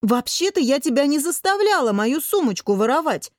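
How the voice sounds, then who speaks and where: A young heroine of a comic fantasy audiobook, played by a woman narrator. She has a fairly high voice and a patient, soothing side that easily tips into exasperation. The recording is dry. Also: big pitch swings, moderate pace, mellow, dry recording. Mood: indignant.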